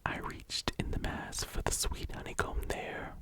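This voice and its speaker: A young adult ASMR host speaking and reading poetry in a breathy, gentle whisper, lingering on the words. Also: a little slow.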